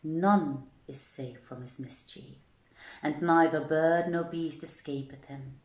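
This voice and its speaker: A woman's voice: sits low, takes it slowly. A woman voicing a frightened female duck from a storybook, solemn and emphatic in archaic words, in a roomy, echoey recording. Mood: grave, warning.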